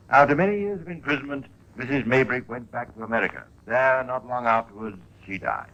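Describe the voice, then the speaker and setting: A man hosts a 1950s radio crime drama with scripted narration. His voice is fairly low but bright and forceful. He speaks in a measured, theatrical way, leaning on key words. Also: dull audio. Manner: measured, theatrical emphasis with rhetorical questions. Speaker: narrator and host.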